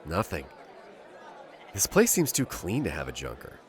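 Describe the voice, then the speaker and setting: A man playing a gruff, sardonic starship skipper with a dry, wisecracking delivery in a fan audio drama. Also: deep voice, wildly swooping pitch, normal speed, echoey room, a faint crowd. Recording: music score behind.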